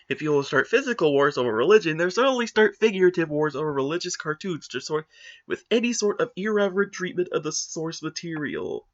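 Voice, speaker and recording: A man's voice: fairly high pitch, a bit bright, lo-fi sound. A man giving a dramatic reading of online forum comments, with exaggerated, indignant, theatrical emphasis. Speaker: reader of forum posts.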